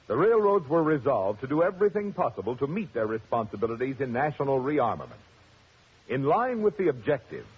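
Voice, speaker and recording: A man reading a formal, earnest radio announcement in a measured, stately delivery. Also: mid-range voice, slightly rough. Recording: muffled 1950s radio broadcast.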